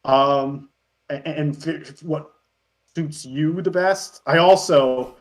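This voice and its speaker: A man's voice: fairly high pitch, slow. A man in his thirties chatting casually as an interview guest, telling rambling, chuckly stories. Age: 30s. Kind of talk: casual chat.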